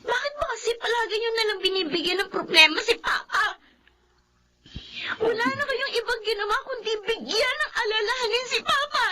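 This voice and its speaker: A woman's voice: very high voice, moderate pace. A woman with a Filipino accent playing a devoted, emotional young daughter in a radio drama, with a tearful, pleading manner. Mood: tearful anger. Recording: loud background music.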